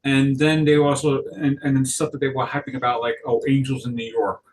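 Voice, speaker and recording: A man chatting casually in a rambling, halting way, often correcting himself mid-sentence. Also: mid-range voice, little pitch movement, recorded from a distance, a little hiss or hum, rough recording. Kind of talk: casual chat.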